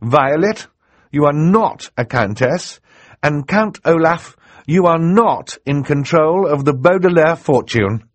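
A man's voice: a little high, animated, takes it slowly, no room sound, pitched above the performer's own voice. A man playing a tender, earnest woman judge who speaks carefully and with emotion, in an audiobook acting style. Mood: careful, then triumphant.

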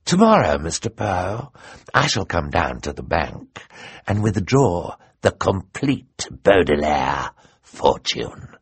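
A sneering count played by a male narrator with a smug, theatrical menace and a rough edge to the voice. He swings widely in pitch and turns to hissing when crossed, in storybook villain fashion. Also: sing-song, takes it slowly, no room sound, full character voice. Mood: smug, menacing. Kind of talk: audiobook character acting.

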